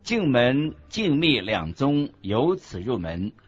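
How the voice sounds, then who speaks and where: A man reading scripted religious teaching as a narrator, in a deliberate, instructive manner. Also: medium pitch, sing-song, husky. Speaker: narrator of a Buddhist teaching radio program. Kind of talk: scripted didactic narration in a radio program. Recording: muffled lo-fi audio with music underneath. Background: background music.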